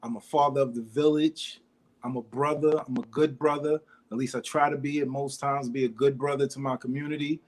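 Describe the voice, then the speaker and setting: A man's voice: mid-range voice, little pitch movement, a little fast. A man in his forties, a guest and co-host in a casual live chat, laid-back and playful. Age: 40s.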